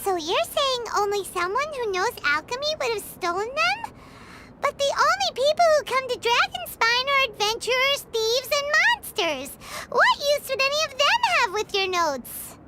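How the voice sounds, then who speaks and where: A woman voicing a tiny, excitable fairy-like girl companion in a video game, with a squeaky childlike voice and an eager manner. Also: normal speed, very noisy. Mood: alarmed, indignant. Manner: refers to self in third person, eager. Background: background music.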